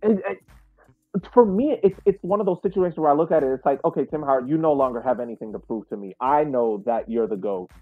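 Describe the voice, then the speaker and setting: A man co-hosting a sports podcast, talking in an earnest, deliberate, conversational way. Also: medium pitch, animated, dry recording. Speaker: podcast co-host. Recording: narrow phone-like sound, faint music.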